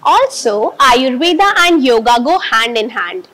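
A woman's voice: high-pitched, sing-song, a little slow, fairly bright tone. A woman in her twenties with an Indian accent, giving a formal testimonial in flowery wording. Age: 20s. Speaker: yoga championship participant, medical student. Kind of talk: on-camera testimonial. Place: bright indoor room by a large window. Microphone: clip-on lapel mic.

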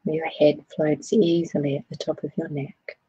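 A woman leading a guided body scan meditation, speaking in a soothing, gentle way with unhurried phrasing. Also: low voice, level tone, slightly rough, highs cut off.

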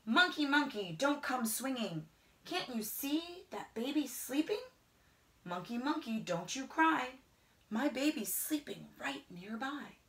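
A middle-aged woman gives an expressive children's read-aloud in a roomy indoor space. Her delivery is slow and rhythmic, with wide swings in pitch. She often softens into a hushed whisper. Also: a little hiss or hum. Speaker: storyteller reading a picture book aloud.

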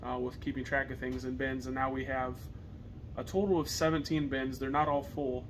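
A young man with an American accent chatting casually to camera as a reseller, with halting phrasing. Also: mid-range voice, little pitch movement. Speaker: reseller co-hosting a video channel. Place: partially finished basement, indoors. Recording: noisy basement room recording.

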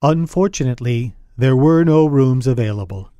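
A man narrating a scripted dramatic story in old radio style, earnest and deliberate. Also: mid-range voice, animated, lo-fi sound, noisy background.

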